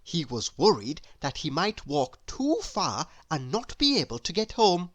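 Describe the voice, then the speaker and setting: A woman reading a children's story aloud in an expressive, deliberate storytelling manner. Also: sits low, no echo.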